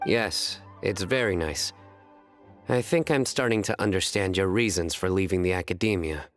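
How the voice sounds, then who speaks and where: An actor voicing a solemn, stiff young male scholar and enforcer in a video game, speaking in a deadpan, formal manner. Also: low voice, moderate pace, faint music behind.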